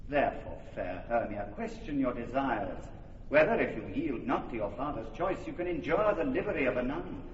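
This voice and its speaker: An actor playing a commanding duke who speaks measured, authoritative verse in an old radio play. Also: male voice, medium pitch, animated, highs cut off, a little hiss or hum, lightly acted. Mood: stately. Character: commanding duke passing judgment. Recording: distant echoey old radio recording.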